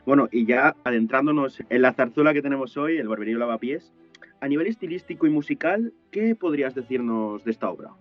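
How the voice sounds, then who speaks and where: A man hosting a podcast, inviting his guest to comment with brisk questions. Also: mid-range voice, lively intonation, faint music behind. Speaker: podcast host. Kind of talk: interview questions. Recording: muffled lo-fi audio.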